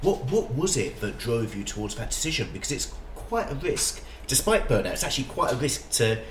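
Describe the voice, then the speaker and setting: A man's voice: medium pitch, big pitch swings, a little fast. A man hosting a podcast interview in a conversational way. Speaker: podcast host. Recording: echoey, distant, faint background noise.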